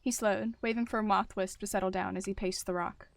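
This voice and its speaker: A woman's voice: medium pitch, steady tone, fairly quick, gravelly, no room sound. A woman narrating a story roleplay in the third person.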